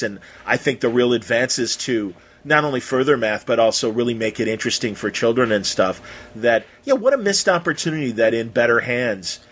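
A man with a husky, mid-pitched voice conducts a casual interview. His questions are informal and halting, wandering a little before they land. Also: a bit bright.

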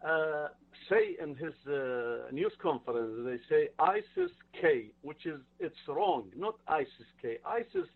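A man's voice: on the high side, sing-song, creaky, far from the mic. Man on a tinny phone line. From Iraq. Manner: halting, earnest, non-native phrasing. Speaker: caller. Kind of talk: phone-in call to a talk show.

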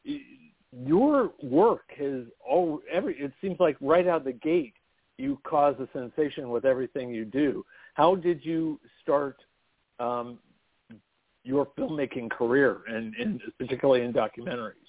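A man hosting a radio interview, introducing a guest and asking questions with halting restarts. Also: medium pitch, expressive, dry recording. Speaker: radio host. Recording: dry phone-like sound.

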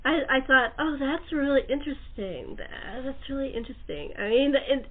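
A fairly high-pitched woman talks through a thin, phone-like connection. She hosts in a casual, chatty style, repeating phrases for emphasis. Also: normal speed. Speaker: talk show host. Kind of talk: casual interview chat. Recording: tinny narrow phone-like line.

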